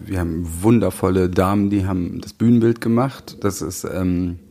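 A man with in a casual podcast conversation as a host, halting and repeating words as he thinks. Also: low voice, fairly even intonation, mellow. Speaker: podcast host, musical maker.

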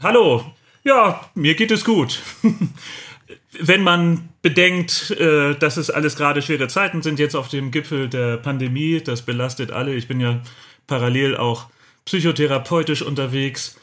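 A man's voice: on the high side, a little slow, a bit bright. A middle-aged man chatting casually and reflectively as an interview guest.

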